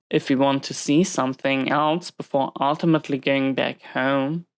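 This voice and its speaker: A woman's voice: deep voice, smooth. A woman playing a dominant, guarded prison inmate, stern but secretly tender, in an intimate roleplay audio drama, giving clipped orders and hesitant confessions. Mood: wistful, tender.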